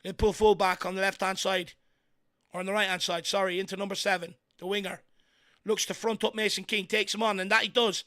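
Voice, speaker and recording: An Irish man hosting a fan watchalong, giving excitable partisan live commentary on a football match with a croaky, worn voice. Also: high voice, brisk. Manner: excitable partisan, shouts encouragement at players. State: voice going croaky from hours of talking.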